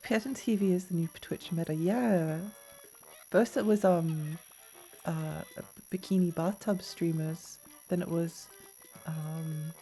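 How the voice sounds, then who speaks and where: A woman streaming a video game, chatting casually with many fillers and little laughs, her voice a bit croaky. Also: fairly low pitch, slow pace, mellow, faint music behind, rough recording. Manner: rambling, giggly, many fillers and restarts. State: slightly croaky voice. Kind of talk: casual live-stream chatter while gaming.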